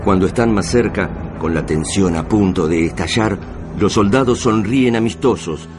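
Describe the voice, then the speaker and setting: A deep-voiced man delivers scripted radio drama narration. Music plays clearly beneath his voice. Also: normal speed.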